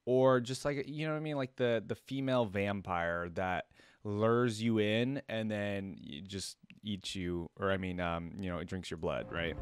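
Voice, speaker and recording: A man's voice: on the low side, normal speed, clean tone, no room sound. Casual young man, dry close sound. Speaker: reaction video host. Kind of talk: casual reaction commentary. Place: small indoor room at a gaming setup. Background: faint music.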